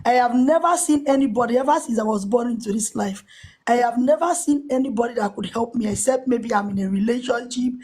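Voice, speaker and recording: A woman's voice: on the high side, a little fast, dark tone, breathy edge. A woman, a caller talking earnestly and repeating her point. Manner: earnest, emphatic, repeats herself. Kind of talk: call-in chat.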